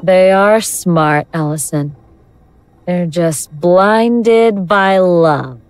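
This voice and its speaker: A woman's voice: on the low side, darkish tone, clean tone, no room sound. A woman acting in an audio drama as a mocking elder god in the guise of a young woman, teasing and condescending. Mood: knowing, amused. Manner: slow, teasing, condescending, self-possessed.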